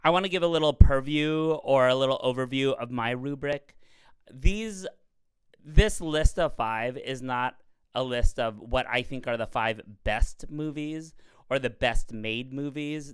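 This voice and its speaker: A man with a cold, his voice slightly raspy and hoarse yet still smooth and clean. He chats in a campy, lively way with quick asides. The sound is dry and close. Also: medium pitch, no echo. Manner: chatty, campy, fast asides. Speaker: podcast host. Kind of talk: casual chat.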